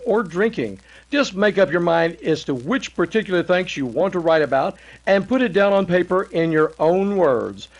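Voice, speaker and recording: Guy playing a 1930s radio announcer, highish male voice, earnest n formal, kinda salesy. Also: poor audio.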